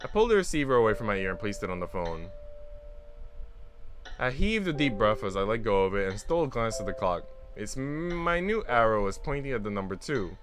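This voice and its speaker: A man's voice: fairly low pitch, a little fast. A man streaming a visual novel, switching between casual slangy chat and reading the story aloud to his viewers. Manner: slangy, animated storytelling in asides. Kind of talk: casual livestream chat mixed with read-aloud narration.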